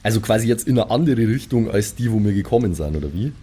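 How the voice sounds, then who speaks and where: A man's voice: fairly low pitch, expressive, quick pace, mellow, a little hiss or hum. A man playing a tabletop game, speaking casually in a hesitant, questioning way. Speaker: tabletop RPG player.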